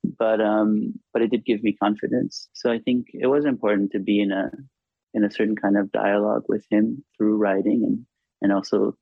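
A man's voice: on the low side, little pitch movement, gravelly, husky, dry recording. A man whose first language is Hebrew, an author talking reflectively in an interview with many restarts. The recording sounds dull and lo-fi. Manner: halting, thoughtful, frequent restarts.

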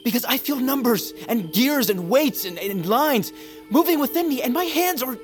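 A man's voice: extremely high pitch, expressive, moderate pace. An actor playing an earnest, insecure young man in an audio drama, speaking hesitantly and pleadingly with stammers. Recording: long reverb over loud music.

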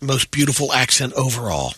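Bright-voiced man reading radio items. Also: medium pitch, very animated, brisk, breathy edge, no echo. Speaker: radio show co-host reading news items. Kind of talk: casual news reading with banter.

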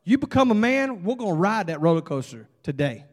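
A man's voice: high-pitched, sing-song, brisk. A man acting out a big, hearty father who urges his son on with emphatic, repeated phrases, in a told story. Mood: urging. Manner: emphatic, urging, repetitive. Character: huge young dad, pushy, hearty cheerleader to his son. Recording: long hall reverb.

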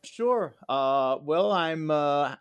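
A man's voice: on the high side, expressive, extremely slow, clean tone, highs cut off. A middle-aged man from Israel, a history professor giving long explanatory answers in an interview.

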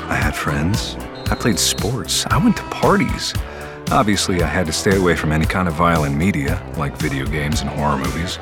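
Very deep man's voice, telling his own past in an audio drama, music underneath. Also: animated, normal speed. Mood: reflective. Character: grown man recounting his troubled youth. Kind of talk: first-person scripted narration.

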